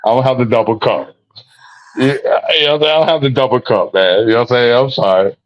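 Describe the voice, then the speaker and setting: A man with a deep voice, a guest in a casual livestream chat, laid-back and repeating his phrases. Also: quick pace. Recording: dull lo-fi call audio.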